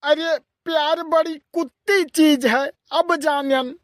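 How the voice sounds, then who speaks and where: An older cartoon village man, a teasing uncle who grumbles through his tales. The voice is oddly high and unhurried, with a rustic eastern Uttar Pradesh accent, in a dry, close recording. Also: fairly even intonation, no echo. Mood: consoling. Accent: eastern Uttar Pradesh (Awadhi). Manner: colloquial, exclamatory storytelling. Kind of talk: rustic comedy cartoon dialogue.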